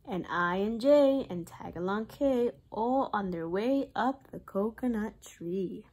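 A woman's voice: medium pitch, lively intonation, takes it slowly, darkish tone. A young woman, a preschool teacher, reads a picture book aloud in a sing-song way for young children. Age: young adult.

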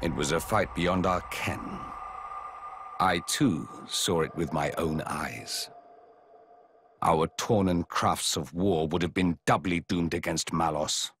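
A man playing a grave middle-aged king in a fantasy game, speaking in formal, measured, archaic phrases. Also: sits low, background noise. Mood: grave, solemn. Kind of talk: video game cutscene acting.

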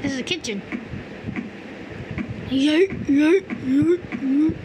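A video host giving excitable game commentary, reacting aloud and repeating words. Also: high voice, slow. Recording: clear background noise.

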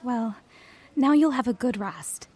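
High, slightly husky caring daughter. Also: female voice, expressive, measured pace, a little mellow. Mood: gently concerned. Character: caring, chatty grown daughter. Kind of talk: radio drama dialogue.

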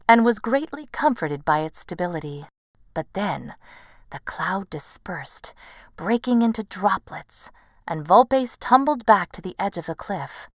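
Measured woman storyteller, lively pitch. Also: no echo, studio quality. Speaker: storyteller, voice actor. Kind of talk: scripted storybook narration.

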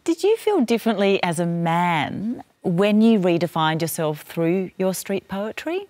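A woman hosting a television discussion, asking calm, probing questions in a studio. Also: on the low side, unhurried, no room sound. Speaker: host. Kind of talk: television interview.

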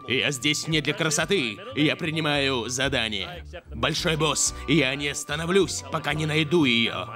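A proud, earnest cartoon eagle hero. He speaks in a slightly raised, commanding voice. Loud music plays under him. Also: a little high, animated, measured pace. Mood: determined.

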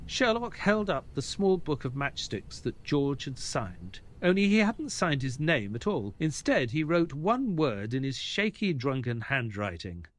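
A man playing a detective's loyal assistant, narrating an audio drama in a measured storytelling way. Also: mid-range voice, big pitch swings, a little hiss or hum. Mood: grave, narrating.

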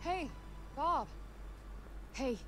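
A woman's voice: high-pitched, animated, very slow, background noise, exaggerated voice. An actress playing a brash young woman hero who talks casually and urgently, with game music behind her. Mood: worried.

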